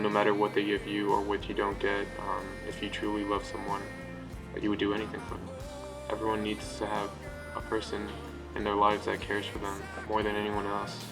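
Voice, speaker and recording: A man in his twenties being interviewed, hesitant and soft-spoken, often hedging with "I guess". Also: on the low side. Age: 20s. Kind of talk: documentary interview.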